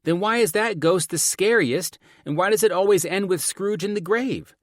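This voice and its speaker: A man's voice: high voice, moderate pace, no room sound, studio quality, pitched above the performer's own voice, close to an everyday voice. A man voicing a cheeky, sassy young daughter with a morbid streak in an audiobook. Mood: insistent. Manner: sassy, teasing. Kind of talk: audiobook character dialogue.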